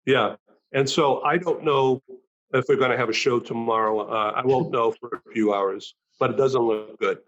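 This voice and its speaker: Halting male talk-show host. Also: medium pitch, steady tone, highs cut off. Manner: halting, many "uh" and "um" fillers. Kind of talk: hosting a video-call talk show.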